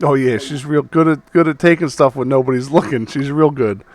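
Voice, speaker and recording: A man's voice: mid-range voice, darkish tone, no room sound, lightly acted. A man voicing a pushy, defensive bookstore owner who talks in a brash, salesy way. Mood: needling. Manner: blustery, salesy, quick to take offense.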